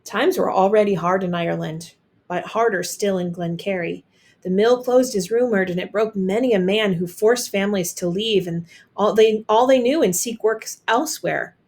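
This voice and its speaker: Female storyteller, medium pitch, expressive picture book narration, read-aloud for children. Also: normal speed.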